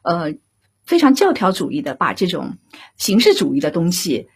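Husky, expressive woman director in discussion. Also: on the low side, normal speed. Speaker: radio drama director, interview guest. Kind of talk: reflective discussion of creative work.

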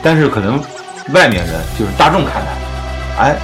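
A man's voice: mid-range voice, very animated, normal speed. A man hosting a casual music discussion in an explanatory, opinionated manner. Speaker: podcast host.

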